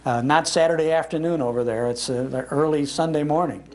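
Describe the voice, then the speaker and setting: A man's voice: a little high, fairly quick, darkish tone. An American man in his sixties, a radio host giving an informal talk to an audience. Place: public library meeting room.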